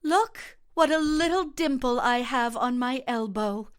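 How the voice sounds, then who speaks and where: A woman's voice: sits high, measured pace, smooth, voice pushed up. A woman reading a short story, voicing a volatile lovelorn woman who is coy, haughty and theatrical. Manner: theatrical, swinging between coy and fierce.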